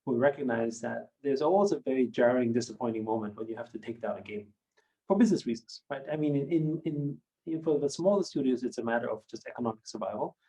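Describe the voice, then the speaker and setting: A man in his fifties, a German native speaker who grew up in Austria, answering interview questions in a measured, reflective way with many hedging fillers. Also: medium pitch, warm tone, rough recording. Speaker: company founder and guest. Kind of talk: podcast interview.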